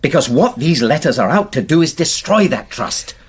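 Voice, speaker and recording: A man's voice: a little high, very animated, moderate pace, breathy edge. An actor playing a defensive, exasperated middle-aged husband, a successful former sportsman, in a naturalistic radio drama. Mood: indignant, pleading. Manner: exasperated, insistent, argumentative.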